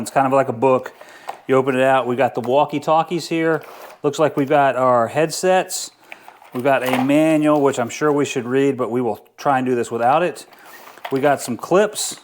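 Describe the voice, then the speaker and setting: A man's voice: on the high side, a little hiss or hum. A man talking casually through a product unboxing and review, informal and easygoing, thinking aloud as he goes.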